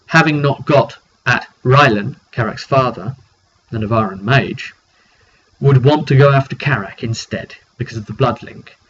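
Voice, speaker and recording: A British man recaps a tabletop roleplay in a casual storytelling style. His mid-pitched voice moves along in a rambling, halting way, pausing partway through sentences. The sound is dull and lo-fi, with slight noise behind him. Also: fairly bright tone. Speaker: tabletop roleplay game narrator recapping a session. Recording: dull lo-fi recording with background noise.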